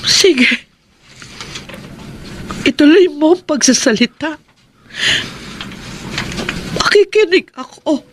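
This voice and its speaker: A woman's voice: sits high, animated, takes it slowly, pauses often. An actress playing a repentant, patient mother, pleading and submissive in Filipino radio drama style. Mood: resigned, pleading. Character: repentant, patient mother seeking her son's forgiveness. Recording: noisy old radio drama recording.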